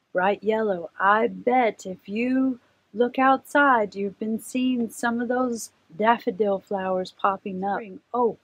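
A woman's voice: medium pitch, husky, poor audio. A middle-aged woman hosting a children's story time, reading aloud expressively and putting simple questions to her young listeners.

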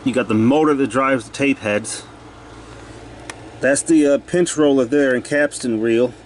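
Man muttering over steady background noise. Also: mid-range voice, unhurried. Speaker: hobbyist repairer narrating own video. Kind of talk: casual off-the-cuff repair commentary. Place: cluttered indoor workshop. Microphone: handheld camera.

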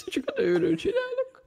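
A man's voice: very high voice, lively intonation, long reverb tail, a loud crowd. A man bantering casually with friends in an online game's voice chat. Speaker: player in online game voice chat. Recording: very noisy game voice chat.

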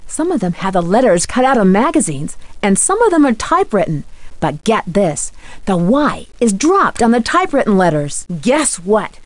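A woman's voice: medium pitch, very animated, normal speed, no echo, close to an everyday voice. A woman playing a polite, determined young female detective in a video game, earnest and inquisitive. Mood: eager, confiding.

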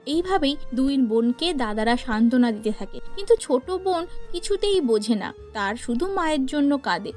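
A woman narrates a scripted cartoon tale. Her voice is high, and light music plays behind it. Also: expressive, normal speed, a little mellow.

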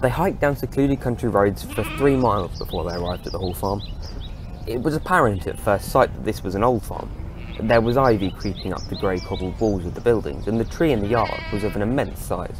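A man's voice: fairly low pitch, expressive, fairly quick, noisy background. A man, reading scripted narration for an audio story.

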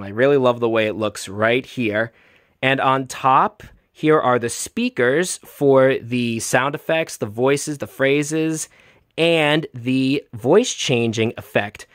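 A man's voice: medium pitch, no room sound. Enthusiastic man reviewing toys, dry sound. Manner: enthusiastic, repetitive emphatic phrasing. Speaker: toy reviewer. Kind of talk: product review vlog, chatty show-and-tell.

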